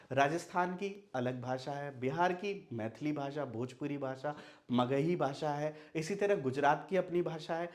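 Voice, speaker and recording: A man's voice: a little high, a little mellow. A young man from Bihar whose first language is Maithili, teaching an online Hindi lesson. He asks questions and then answers them himself. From Madhubani, Bihar, India. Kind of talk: explanatory video lesson.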